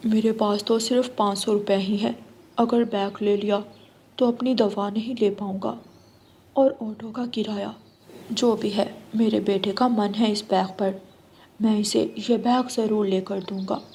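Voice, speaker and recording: A woman's voice: mid-range voice, fairly even intonation, measured pace, warm tone, husky. A woman playing a devoted, patient father bird who indulges his child, in a children's cartoon. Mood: indulgent. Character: devoted adult father bird, gentle, self-sacrificing.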